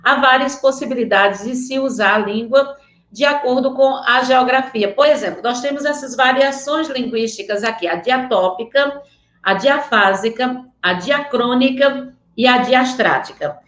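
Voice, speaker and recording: Earnest Northeastern Brazilian woman teacher, slightly high voice, echoey room. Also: normal speed, fairly bright tone. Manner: earnest, checks in with students often. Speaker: Portuguese teacher. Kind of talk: online class lecture with slides.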